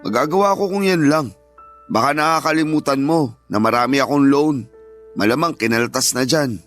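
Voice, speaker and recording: A man's voice: mid-range voice, moderate pace, fairly bright tone, close to an everyday voice. A man playing an evasive, irritable working husband in a Filipino radio drama, dismissive and exasperated, with a Filipino accent. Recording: music playing behind the voice.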